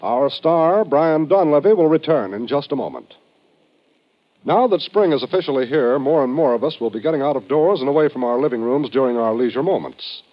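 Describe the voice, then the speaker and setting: Lively American vintage radio announcer, male. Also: mid-range voice, fairly quick, slight background noise. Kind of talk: old-time American radio announcing, scripted. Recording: dull vintage radio broadcast. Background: music.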